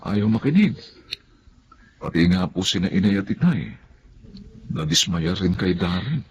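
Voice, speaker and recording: Radio drama role: caring, respectful young friend, animated and deep, faint noise behind. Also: male voice, a little slow, dull audio. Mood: respectful, regretful. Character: concerned young male friend, teasing, respectful, well-meaning. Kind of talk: Filipino radio drama acting.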